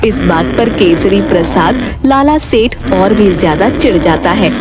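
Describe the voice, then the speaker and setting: A woman with a mid-pitched voice tells a scripted children's tale as narrator. Music plays clearly underneath her. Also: lively intonation, a little slow.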